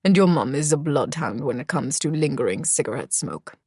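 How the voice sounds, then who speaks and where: Woman as kingly older male figure, audiobook character, low and gruff, Germanic accent, measured, weary, fatherly. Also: animated, a little mellow, no room sound, studio quality. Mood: sheepish. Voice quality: deep, gruff. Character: gruff, kingly middle-aged stepfather, warm and sighing.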